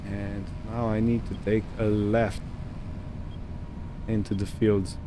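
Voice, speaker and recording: A man giving casual roleplay gameplay commentary, unhurried and thinking aloud as he goes. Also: fairly low pitch, flat delivery, noisy background. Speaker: gaming video host.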